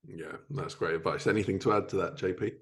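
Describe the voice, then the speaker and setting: A man's voice: deep voice, fast. A man hosting a podcast, casually putting questions to his guests. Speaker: podcast host, interviewer.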